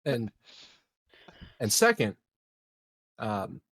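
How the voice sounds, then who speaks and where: A man role-playing casually as a player in a tabletop game, speaking in a hesitant, deliberate way. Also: medium pitch, sing-song, fairly bright tone, no echo.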